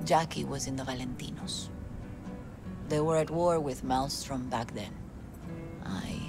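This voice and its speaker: Slow, gravelly, grieving middle-aged mother. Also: low-pitched, exaggerated voice. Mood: solemn, composed. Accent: Spanish-accented English. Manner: halting, solemn. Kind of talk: dramatic video game storytelling. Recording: loud guitar music behind voice.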